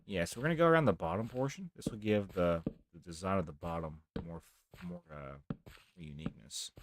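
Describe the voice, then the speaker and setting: Deep-voiced man rambling over gameplay. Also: brisk. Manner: rambling, talks softly, near whispering. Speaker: streamer. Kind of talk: casual live gameplay commentary.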